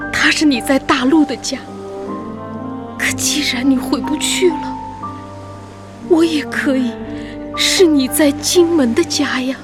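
An actress playing an anxious widowed young mother in a radio drama, pleading in a plaintive, halting, earnest way. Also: sits high. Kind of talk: emotional radio drama acting. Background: music.

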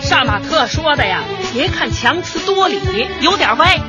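High, lively middle-aged neighbourhood woman. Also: a little slow, muffled recording, loud music behind the voice. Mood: reasoning. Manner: folksy, chatty, exclamatory, conciliatory. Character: bubbly, peacemaking older neighbourhood woman. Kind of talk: light comedy radio drama acting.

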